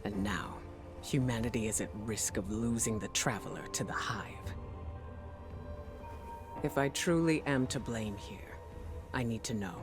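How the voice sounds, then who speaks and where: Actor as a stern mentor figure, measured and grave, game score behind. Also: male voice, mid-range voice, natural voice. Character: stern commanding mentor and leader. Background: music.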